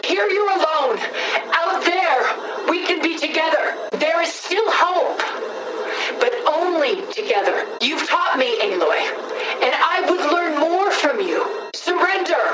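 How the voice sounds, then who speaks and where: A woman's voice: high voice, expressive, slow. An actress voicing a commanding, possessive female villain in a video game, speaking formally with imperious, dramatic emphasis. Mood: persuasive, urgent. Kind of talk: video game combat voice lines. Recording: distant, echoing voice with background noise.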